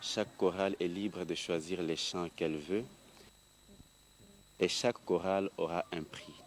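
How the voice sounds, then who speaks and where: A man with a very low voice explains things as if answering an interviewer. There is faint noise behind him. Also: steady tone, moderate pace.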